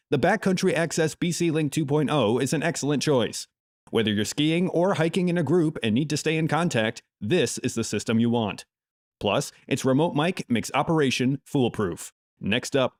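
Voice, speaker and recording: A man's voice: medium pitch, no room sound. Close, dry male review narrator. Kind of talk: scripted narration. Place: close, dry voice-over recording.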